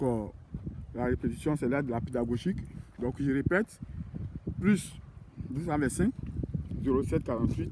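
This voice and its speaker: A man's voice: mid-range voice, noisy background. A man working as a real estate agent, giving a casual outdoor tour of land plots, with lots of hesitations and restarts. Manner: hesitant, full of fillers and restarts. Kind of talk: casual walking video tour.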